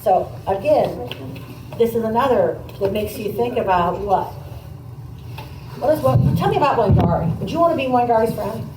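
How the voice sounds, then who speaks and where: A woman teacher reading a picture book aloud, pausing to comment and put questions to her listeners in a conversational way. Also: on the high side, animated. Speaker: teacher demonstrating a read aloud to pupils. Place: echoey indoor classroom. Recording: roomy, distant mic, faint background noise.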